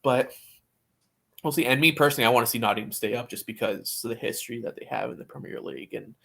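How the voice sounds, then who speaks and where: A man co-hosting a sports podcast in casual chat, talking haltingly with repeated words and false starts. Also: mid-range voice, creaky, a bit bright.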